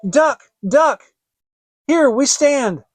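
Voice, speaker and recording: Man voicing an earnest, high-pitched little girl. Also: expressive, drawn-out words, no echo, faint music behind, heavily acted. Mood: hopeful. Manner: pleading, earnest. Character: frightened but clever little girl, earnest and kind. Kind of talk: dramatic fairy tale reading.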